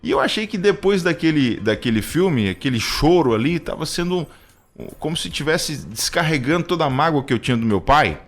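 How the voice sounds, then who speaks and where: A Brazilian man in his thirties giving personal testimony in conversational talk, reflective and halting, often repeating words as he goes. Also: fairly high pitch, lively intonation. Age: 30s. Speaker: radio show co-host.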